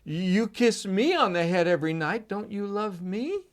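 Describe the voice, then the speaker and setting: A man voicing a young boy who is upset over his pet duck, speaking in a shrill, pleading way. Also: lively intonation, normal speed. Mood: stubborn.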